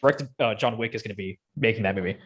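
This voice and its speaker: A man's voice: on the low side, fast talker, faint music behind. Man chatting casually, slangy and enthusiastic. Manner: slangy, enthusiastic fan talk. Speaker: podcast co-host. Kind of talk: casual chat about video game movies.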